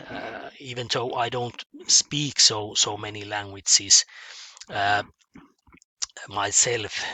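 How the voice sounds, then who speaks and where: A man with a Finnish accent, a math teacher addressing his students in a halting way, with many fillers and repeated words. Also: lowish voice, bright, forceful tone, dry recording. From Finland. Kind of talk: spoken lecture to students.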